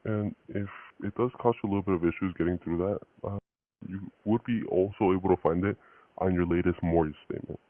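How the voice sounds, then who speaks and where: On a dull phone recording, a man with a deep voice talks quickly. He sounds like an informal, accommodating sales agent on a call. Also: fairly even intonation, no echo. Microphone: phone line. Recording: thin, band-limited phone call recording.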